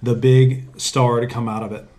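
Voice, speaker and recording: A man's voice: medium pitch, level tone, normal speed, a little mellow. A young man co-hosting a podcast in casual chat, jokey and self-deprecating, laughing often. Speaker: podcast co-host. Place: sitting on a bench.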